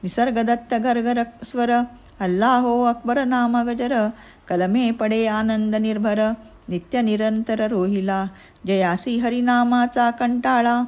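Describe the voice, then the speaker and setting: A woman reciting devotional narrative verse in a measured way. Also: on the high side, steady tone, darkish tone, dull audio.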